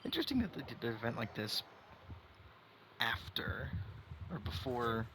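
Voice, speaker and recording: A man's voice: mid-range voice, wildly swooping pitch, moderate pace. A man streaming a game on a headset mic, giving offhand, muttered casual commentary. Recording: noisy headset gameplay stream.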